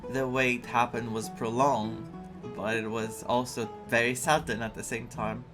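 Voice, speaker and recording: A video game streamer giving casual, personal commentary in a halting, reflective and candid way. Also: medium pitch, level tone. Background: faint game music.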